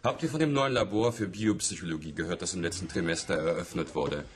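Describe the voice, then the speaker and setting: Eager, deep-voiced male colleague, played by an actor. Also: fast, a little hiss or hum, close to an everyday voice. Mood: enthusiastic. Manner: keen, persuasive. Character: eager, persuasive, sociable male university colleague. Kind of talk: radio play acting.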